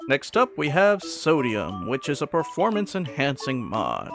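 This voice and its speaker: Animated man hosting a casual gaming video. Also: medium pitch, measured pace, music in the background. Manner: animated, informal, conversational. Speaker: host of a gaming video channel.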